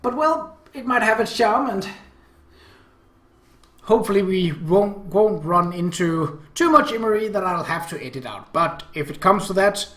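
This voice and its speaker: A man hosting a let's play, reading a visual novel aloud and adding casual, halting commentary. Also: sits high, expressive, a bit bright. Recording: echoey room.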